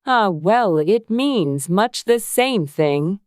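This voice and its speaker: A pompous, affectionate Duchess from a storybook, speaking slowly and sententiously as she hands out morals. The voice is smooth and clear, pitched well above the narrator's, in a dry recording. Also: expressive, darkish tone, no room sound. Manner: pompous, drawn-out sententious delivery. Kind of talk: dramatized storybook audiobook acting.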